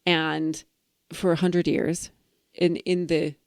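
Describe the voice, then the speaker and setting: A woman's voice: deep voice, level tone, slow, creaky, darkish tone, no room sound, clean studio sound. A woman co-hosting a podcast, chatting casually and playfully in a rambling, trailing-off way. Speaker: podcast co-host. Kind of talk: casual banter retelling fairy tales.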